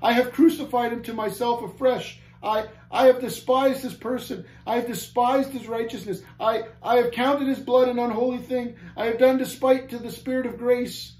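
A man's voice: very high voice, steady tone, moderate pace, few pauses, a little mellow, voice pushed up. A man voicing a despairing, guilt-tormented prisoner in an audiobook story, moaning in anguish. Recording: roomy echo, faint background noise.

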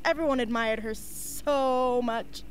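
A woman's voice: a little high, fairly even intonation, slow, a little hiss or hum, poor audio. A woman playing a sweet, naive teenage girl in an amateur radio play. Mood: sweet, admiring.

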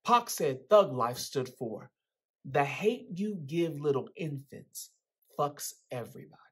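Young guy playing a guarded street-smart teen boy, american accent, raspy, lively delivery, casual slangy dialogue. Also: on the high side, a little slow, heavily acted. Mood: laid-back, insistent. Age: young adult. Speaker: actor reading a character's dialogue. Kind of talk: dramatic book reading, casual street dialogue. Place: indoors in a small plain room. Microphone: video call, close to computer.

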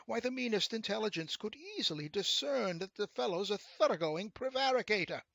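A man voicing a proud, sniffy gentleman in a storybook tale, speaking in a pompous, wordy, over-precise way. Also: on the high side, very animated, measured pace, vocal fry, slightly rough, dry recording, full character voice. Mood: indignant protest.

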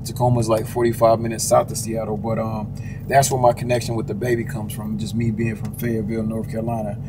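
Laid-back man with a flat voice. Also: on the low side, fast talker. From Washington State, US. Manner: laid-back, slangy, with fillers. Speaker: music reviewer, channel host. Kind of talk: casual album reaction chat. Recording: noisy background.

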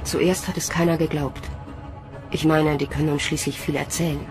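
A woman's voice: low-pitched, level tone, moderate pace, music in the background, close to an everyday voice. A young woman playing a weary, cynical space recruit narrating a German sci-fi audio drama in a matter-of-fact, sardonic way.

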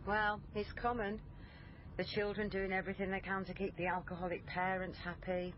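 An actress playing an observant, sober police family liaison officer in a radio crime drama. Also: female voice, medium pitch, little pitch movement, a little fast, highs cut off, noisy background, lightly acted. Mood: thoughtful. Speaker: lead actress and first-person narrator. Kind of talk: radio crime drama, intimate narration and dialogue.

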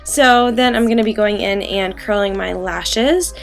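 A young woman in her twenties, raspy from a cold, chatting through a makeup tutorial in a bedroom, full of ums and likes. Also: mid-range voice, a little slow, soft background music. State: getting over a cold. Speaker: beauty vlogger. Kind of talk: casual makeup tutorial talk. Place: bedroom, indoors.

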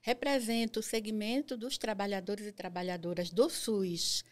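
A woman's voice: medium pitch, steady tone, moderate pace. An older woman with a Brazilian accent, answering conversationally as a guest on a studio podcast. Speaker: podcast guest, nurse and health council member. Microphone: studio microphone on boom arm.

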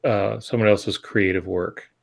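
Older man with a low voice. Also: fairly even intonation, a little slow, creaky. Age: 60s. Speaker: podcast co-host and published author. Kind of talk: casual explanatory advice. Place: home office, indoors. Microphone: earbud headset on video call.

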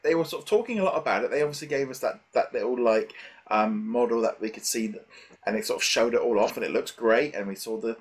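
Man, middle-aged, medium pitch, casual podcast discussion, rambling, thinking aloud. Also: fast, breathy edge. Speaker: podcast host. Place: small home room with shelves, indoors. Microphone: headset.

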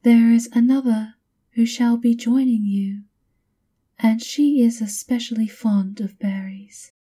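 Woman acting as a slow, whispery gothic castle maid. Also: on the high side, steady tone. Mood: politely servile. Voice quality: breathy, whispered softness. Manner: formal, measured, polite. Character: soft-spoken, subservient, coolly formal female maid of a vampire countess. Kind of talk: ASMR roleplay, scripted and whispery.

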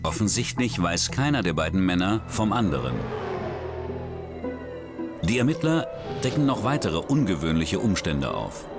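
A man narrating a true crime documentary in a measured, grave scripted voice-over. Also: on the low side.

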